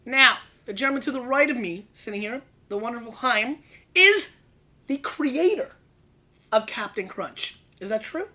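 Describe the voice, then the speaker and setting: An American man hosts a casual interview with energy and plenty of banter. He jumps in with quick questions and interjections. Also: very high voice, expressive, pushed and bright. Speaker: host. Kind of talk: casual wine show interview.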